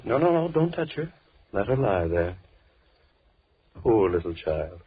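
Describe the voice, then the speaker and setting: A deranged killer in a 1930s radio horror play. He taunts in a mock-gentle way, repeating his phrases with creepy menace. The old broadcast is narrow and tinny. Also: lowish voice, big pitch swings, unhurried, background noise. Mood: mock-gentle, taunting. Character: deranged, murderous man obsessed with chance and a bride.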